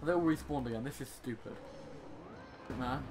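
A man's voice: medium pitch, normal speed, heavy background noise. A young man giving casual gameplay commentary in a rambling, exasperated way, with joking complaints and mild swearing. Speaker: gaming video host. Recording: game sound clearly behind voice. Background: video game action sounds.

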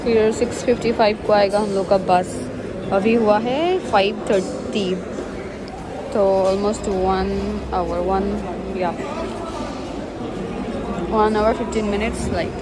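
A woman's voice: medium pitch, steady tone, slow pace, pauses often, heavy background noise. A young woman chatting casually as a travel vlogger, thinking aloud into a phone mic. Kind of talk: casual travel vlog narration. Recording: loud background music.

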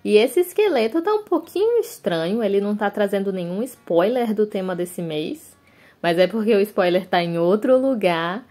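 A woman's voice: medium pitch, lively intonation, moderate pace, warm tone. A young woman, narrating a journaling video in a chatty, confiding way. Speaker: bullet journal vlogger. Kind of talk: voice-over narration of a craft video.

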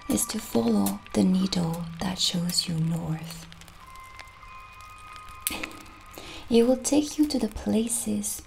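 A young woman playing a gentle, kindly witch shopkeeper in an ASMR roleplay, soft-spoken and soothing, slipping toward breathy near-whispers. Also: mid-range voice, poor audio. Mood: calm, reassuring. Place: small indoor candlelit set.

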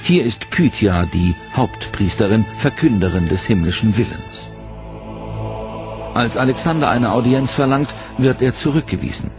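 A man narrates a history documentary in a gravelly, measured voice, his lines carried over loud orchestral music. His delivery is dramatic and deliberate. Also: mid-range voice, animated.

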